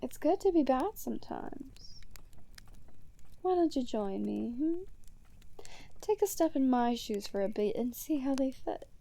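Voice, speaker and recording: A woman playing a gleeful, chaos-loving female supervillain in an ASMR roleplay, teasing and breathy, often near a whisper. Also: sits high, expressive, measured pace, long pauses, mellow, exaggerated voice. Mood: coaxing, seductive. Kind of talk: ASMR roleplay monologue to the listener.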